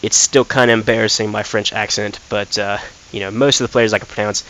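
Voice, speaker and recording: A man's voice: on the low side, fairly even intonation, fairly quick, fairly bright tone, rough recording. Young man rambling over a football game. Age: young adult. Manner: rambling, thinks aloud with many fillers. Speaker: gaming commentator. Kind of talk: casual gameplay commentary.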